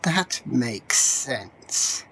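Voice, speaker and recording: Woman playing shrewd warrior, low husky voice, slow and careful, fantasy audio drama. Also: lively intonation, a bit bright, slight background noise, full character voice. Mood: probing. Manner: hesitant, thoughtful. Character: shrewd, tactful fantasy warrior working out a theory.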